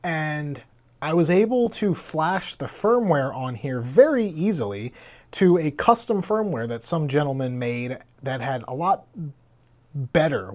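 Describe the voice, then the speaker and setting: Higher-voiced man reviewing gadgets. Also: a little mellow. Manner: conversational, explanatory. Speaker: tech reviewer. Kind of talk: casual hands-on gadget review.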